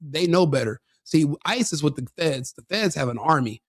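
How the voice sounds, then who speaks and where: A man with a somewhat high voice sneers and jeers through crude reaction commentary, laughing as he goes. The sound is dry and close, with no room around him. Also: expressive, normal speed, no echo.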